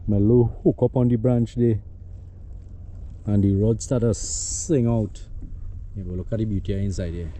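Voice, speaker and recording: A man's voice: on the low side, steady tone, normal speed, long pauses, warm tone. A man with a Trinidadian accent giving casual, laid-back vlog commentary to his viewers, recorded outdoors on an action camera. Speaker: outdoors vlogger. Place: outdoors on the water in a kayak bay. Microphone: handheld action camera.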